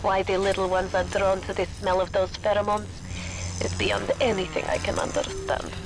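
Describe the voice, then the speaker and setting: A woman's voice: medium pitch, flat delivery, normal speed, noisy background, lightly acted. Woman playing a brusque German-accented scientist. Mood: disgusted. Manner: clinical, brusque, disgusted. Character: stern, disdainful European woman scientist guiding the player. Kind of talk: video game character acting. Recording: loud music behind voice. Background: loud game music.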